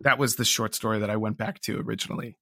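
A man chatting casually and reflectively as an interview guest, halting and self-deprecating. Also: lowish voice, fairly even intonation, creaky, bright, forceful tone, no room sound, studio quality. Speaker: interview guest, audio drama creator.

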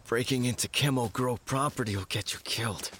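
An actor playing a dying, worn-out cyborg soldier in an audio drama, strained, halting and fatalistic. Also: male voice, mid-range voice, very noisy, lightly acted. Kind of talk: cinematic audio drama acting.

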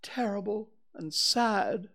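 A storybook reader voicing a humble, slow-witted, dreamy toy bear with a hesitant, wistful manner. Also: mid-range voice, vocal fry, a bit bright, pitched above the performer's own voice, heavily acted. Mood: mournful.

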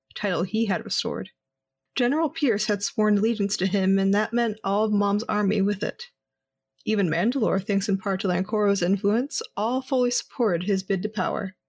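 A woman narrating an audio drama in the first person, with a dry, wry manner. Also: mid-range voice. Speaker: narrator and character.

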